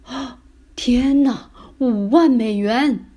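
A woman's voice: mid-range voice, animated, unhurried, mellow. A woman voicing a boastful, blustering father in a children's story, speaking emphatically. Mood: astonished.

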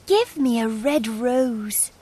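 A man voicing a small, brave female nightingale who pleads slowly in a high voice. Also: lively intonation, warm tone, full character voice. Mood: pleading.

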